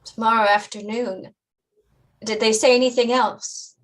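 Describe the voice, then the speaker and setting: A woman playing a patient, caring mother in a staged drama reading, deliberate and instructive in her way of explaining. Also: fairly high pitch, steady tone, natural voice, low-quality recording. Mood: shaken, distracted.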